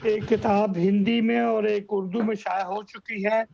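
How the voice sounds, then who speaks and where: A man's voice: sits high, steady tone, measured pace, creaky, crowd noise. A man moderating a mushaira, introducing a poet in courteous, formal Urdu over a video call. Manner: courteous, chimes in repeating verse endings. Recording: dull lo-fi call audio.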